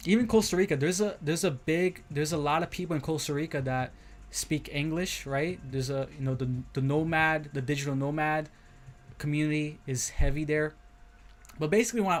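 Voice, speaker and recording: A man with an American accent and a slightly high voice hosts a casual livestream. He speaks informally, circling back over his points and often tagging on a quick check-in with his listeners. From Brazil, moved to the United States as a young child. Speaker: livestream host, crypto trader.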